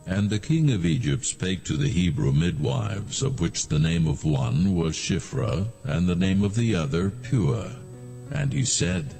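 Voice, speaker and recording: A man giving solemn, measured scripted narration of scripture as a storyteller. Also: low-pitched, very animated, vocal fry, mellow, faint music behind, rough recording.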